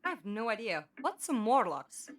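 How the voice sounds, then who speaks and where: A woman's voice: on the high side, very animated, a little slow. A woman playing an earnest, indignant female captive with memory loss in an audio drama. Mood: wistful. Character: Five, earnest indignant female abductee with amnesia.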